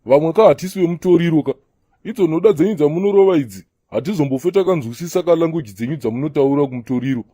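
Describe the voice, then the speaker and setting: Preachy zimbabwean prophet for a cartoon, quick talker, mellow tone, lectures the boys. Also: male voice, a little high, husky, dry recording, studio quality. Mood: preachy, chiding. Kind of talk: comedy cartoon dialogue in Shona mixed with English.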